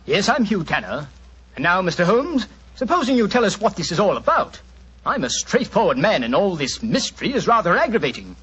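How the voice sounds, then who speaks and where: Forceful, rough-voiced impatient villain. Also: male voice, sits high, big pitch swings, brisk, full character voice. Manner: forceful, blunt, demanding. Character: blunt, impatient, defiant estate manager, secretly murderous villain. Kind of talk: 1940s radio drama acting. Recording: muffled 1940s radio broadcast.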